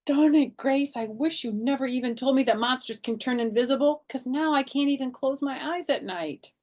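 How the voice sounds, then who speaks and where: A woman plays an excitable little schoolgirl from a children's storybook. The delivery is anxious and emphatic, lifted high and pushed louder, with dramatic childlike phrasing. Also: moderate pace. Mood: pleading, eager. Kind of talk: animated children's storybook reading.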